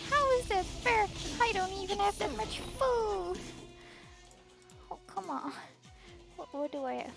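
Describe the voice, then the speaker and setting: A woman streamer with a very high voice gives casual, playful commentary while she plays, exclaiming and complaining as she goes. Loud game music plays behind her. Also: expressive, a little slow, vocal fry, long pauses.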